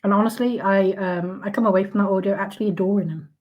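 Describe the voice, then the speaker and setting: A woman whose voice is croaky today, ranting in her own casual commentary at an ordinary middle pitch. She is sarcastic and outraged, stumbling through fillers and restarting sentences as she goes. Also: steady tone, fast, highs cut off. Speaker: commentary vlogger.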